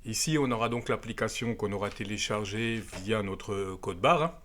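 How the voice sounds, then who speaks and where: A man's voice: on the low side, moderate pace, fairly bright tone, slight background noise. A man presenting a gadget in a casual, hands-on way, explaining it step by step. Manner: explanatory, step by step, with frequent tag words. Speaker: amateur radio video presenter. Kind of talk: casual hands-on product demonstration.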